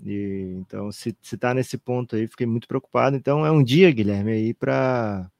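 Gravelly podcast co-host man. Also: mid-range voice, normal speed, a little mellow, no room sound, clean studio sound. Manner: conversational, peppering lines with tag questions. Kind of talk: casual sports podcast chat.

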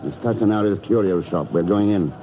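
An actor playing a cool, unflappable secret agent in a 1950s radio drama, dry and clipped. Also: male voice, lowish voice, brisk, close to an everyday voice. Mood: dry calm. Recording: muffled 1950s radio, faint noise.

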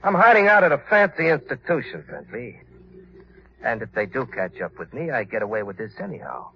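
A man's voice: mid-range voice, very animated. An actor playing a vengeful, unhinged killer in an old radio crime drama, taunting and gloating over his victim. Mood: bitter, menacing. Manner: taunting, drawn-out gloating with maniacal laughter. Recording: dull lo-fi old radio, background hiss.

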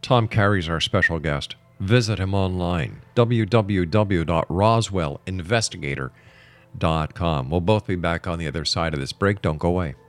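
A man's voice: deep voice, moderate pace. A man hosting a radio talk show, speaking in a broadcast style.

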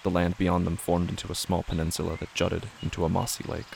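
A man narrating a scripted horror story in a hushed, deliberate, brooding, gravelly manner. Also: low voice, monotone, slight background noise.

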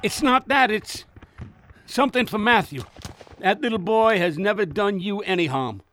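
An actor playing a dying old plantation master from the American South in a radio drama, proud and urgent. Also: male voice, high-pitched, lively intonation, moderate pace, a bit bright, a little hiss or hum. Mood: weary, pleading. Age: older.

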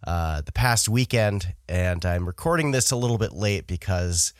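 A man's voice: sits low, moderate pace, no room sound, clean studio sound. A man hosting his podcast in a casual, chatty way, sounding nasal and stuffed up from a cold. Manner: relaxed, chatty, frequent uh fillers. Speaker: podcast host. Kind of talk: casual solo podcast chat.

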